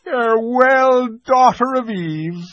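A man voicing a timid, weeping male faun who pleads slowly and frets. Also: very high voice, fairly bright tone, no echo, lo-fi sound, voice pushed up, exaggerated voice. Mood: anxious. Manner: fretful, pleading, slow and drawn out.

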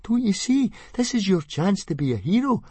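A man doing a shrill, eager boy. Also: very animated, normal speed, mellow, husky, no echo, heavily acted. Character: excitable boy, loyal friend, stout.

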